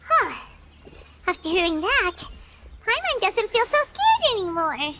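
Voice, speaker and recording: A woman voicing a tiny, excitable, easily scared fairy companion in an anime game, with a squeaky childlike voice and exclaiming manner. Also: a little slow, heavy background noise, exaggerated voice. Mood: curious. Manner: refers to self in third person, exclaiming.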